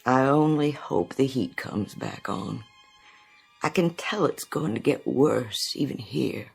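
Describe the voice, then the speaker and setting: A low, slow, anxious woman. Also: lively intonation, creaky, breathy edge, soft background music, exaggerated voice. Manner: halting, repeats phrases. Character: kindly, anxious woman tending a sick younger neighbour. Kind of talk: radio drama acting.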